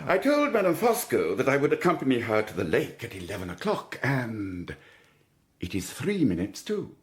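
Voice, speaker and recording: A man's voice: medium pitch, huge pitch swings, moderate pace. An actor playing a vain, courtly and slyly menacing foreign Count in a period radio drama, mocking and theatrical as he relishes every word. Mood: courteous, smug.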